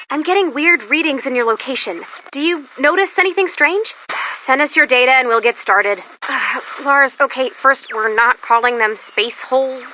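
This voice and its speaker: A woman's voice: a little high, sharp tone, a little hiss or hum, low-quality recording. A woman voicing an upbeat, quirky female robot assistant who guides the commander in a video game, with a chatty, theatrical, comic manner. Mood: concerned, focused.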